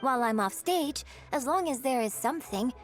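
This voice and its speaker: A woman voicing a poised, polite young female opera performer in a video game, measured and earnest. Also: high voice, animated, soft background music. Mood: earnest, composed.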